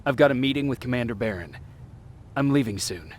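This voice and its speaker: An actor playing an earnest, wary young resistance soldier in a video game. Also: male voice, medium pitch, lively intonation, brisk, a little hiss or hum, natural voice. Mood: guarded concern.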